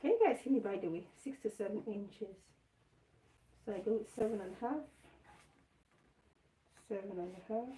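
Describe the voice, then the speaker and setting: Hoarse woman chatting while she sews. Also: mid-range voice, moderate pace, pauses often, dark tone. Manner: chatty, talks to herself while working. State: hoarse, lost voice. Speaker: sewing vlogger livestreaming. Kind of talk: casual live chat while sewing. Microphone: phone or camera set up nearby. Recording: echoey room with faint noise.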